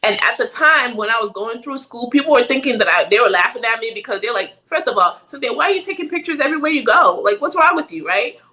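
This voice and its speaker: Middle-aged woman from Ghana, podcast guest, animated and conversational, with a bright tone. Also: a little high, quick pace, hardly any pauses, poor audio. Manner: animated, frequent "right?" tag questions. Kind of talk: casual interview chat.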